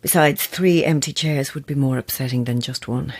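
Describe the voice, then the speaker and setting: An actress in an audio drama playing a sceptical middle-aged woman novelist with a husky voice and wry, deadpan wit. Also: very deep voice, moderate pace. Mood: wry, affectionate. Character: sceptical, dry-witted mature female horror novelist.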